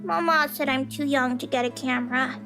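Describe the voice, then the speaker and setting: Very high-pitched, chirpy little girl. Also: a little fast, sharp tone, faint music behind. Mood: curious. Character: little girl of six or seven, curious and blunt. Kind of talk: visual novel voice acting.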